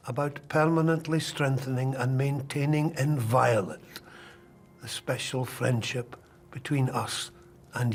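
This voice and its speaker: An actor playing a determined medieval king, reading in a grave, measured, declaiming way for a documentary. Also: medium pitch. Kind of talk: dramatic reading of a historical letter.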